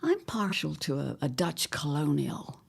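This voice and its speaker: A woman playing a scheming, condescending older mother-in-law in an audio drama, with a smug, theatrical, drawn-out delivery. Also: low-pitched, lively intonation, creaky, slightly rough, heavily acted. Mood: taunting.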